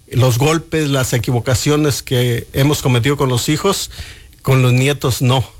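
An older man from Mexico, a guest on a radio show, speaking earnestly and reflectively in a studio booth. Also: medium pitch. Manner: earnest, reflective, with frequent restarts. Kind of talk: radio interview.

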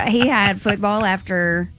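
A middle-aged woman chats on morning radio, medium-pitched and laughing easily. Her voice sits a little lower than usual this morning. Faint music plays under her. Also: a bit bright. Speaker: radio show co-host. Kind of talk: casual morning radio chat. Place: radio studio. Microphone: studio microphone.

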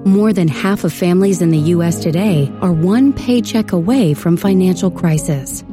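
Female charity voice-over, lower voice, over music. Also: moderate pace. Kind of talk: charity ad read.

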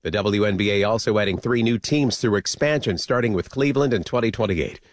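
A man's voice: fairly low pitch, brisk, no room sound, studio quality. A man reading a scripted radio news report.

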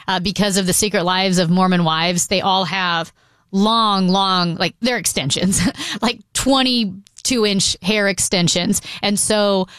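A woman's voice: mid-range voice, measured pace, a bit bright, clear, resonant tone, no echo. A woman co-hosting a talk radio show, chatting casually into a close studio microphone. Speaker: radio co-host. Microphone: close studio broadcast microphone.

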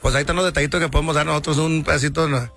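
A man speaks as a musician guest on the radio, friendly and grateful. He talks fast in a slightly high, gravelly voice. Faint music sits behind him. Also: fairly even intonation, a bit bright.

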